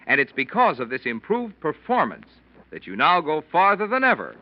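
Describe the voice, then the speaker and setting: A man's voice: a little high, huge pitch swings, normal speed, no room sound. A man announcing in 1940s radio advertising style, with a polished, emphatic salesman's delivery. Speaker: announcer, sponsor pitchman. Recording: dull, dry old radio recording.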